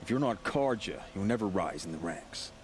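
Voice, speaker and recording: A man's voice: low-pitched, animated, normal speed, a little hiss or hum. An actor playing a blunt, disgruntled male hunter in a video game, speaking in a dry, sardonic way. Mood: wry, cautioning. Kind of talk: video game dialogue acting.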